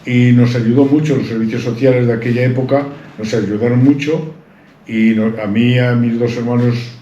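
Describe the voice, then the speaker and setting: A man's voice: medium pitch, warm tone, a little hiss or hum. Old guy in his 70s, proud rambling life story, muffled lo-fi sound. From Santander, Cantabria, Spain. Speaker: interviewee. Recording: roomy, dull-sounding recording.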